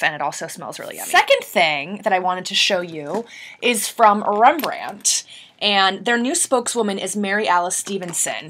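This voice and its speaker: Bubbly thirties beauty blogger with a cold-roughened throat, bright and chatty. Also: female voice, mid-range voice. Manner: chatty, bubbly, gushing over products. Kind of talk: casual product haul video.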